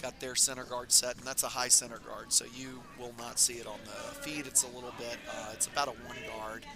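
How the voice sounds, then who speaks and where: Raspy-voiced man doing curling commentary. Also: mid-range voice, brisk, music in the background. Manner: relaxed, rambling, many fillers. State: hoarse from talking all weekend. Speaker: curling club stream commentator. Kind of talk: casual live sports commentary. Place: curling club.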